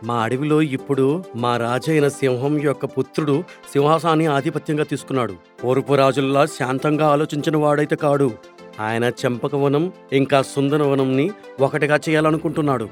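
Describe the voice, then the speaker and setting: A man voicing an anxious, respectful monkey messenger in an animated story. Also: medium pitch, brisk, faint music behind, natural voice. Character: monkey messenger, anxious, deferential, loyal informant.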